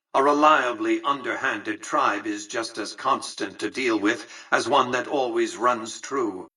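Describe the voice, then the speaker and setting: An actor playing a cold, imperious old tycoon in a video game, speaking in formal, articulate, condescending phrases. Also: male voice, medium pitch, pushed and bright, slightly rough, far from the mic, poor audio. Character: cold, imperious old male tycoon ruling a casino city. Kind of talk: scripted video game character dialogue.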